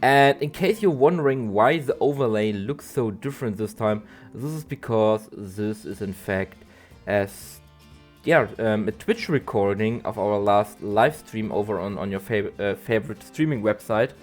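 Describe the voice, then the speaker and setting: A man, a bit nasal from allergies, doing a halting voiceover for a game build video with lots of ums and restarts. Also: lowish voice. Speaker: zoo-building game creator. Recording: soft guitar music behind.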